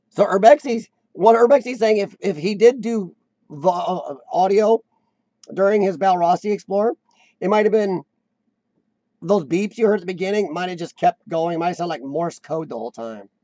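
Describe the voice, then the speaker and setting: A man's voice: high voice, moderate pace, mellow, dry recording, rough recording. A man hosting a casual live-stream chat, rambling and self-deprecating, often restarting his sentences. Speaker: host of a live chat show.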